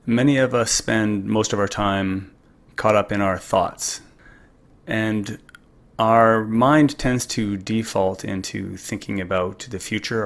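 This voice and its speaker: A man leading a guided meditation in a calm, unhurried, gently instructive way. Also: on the low side, gravelly. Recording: music behind the voice. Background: music.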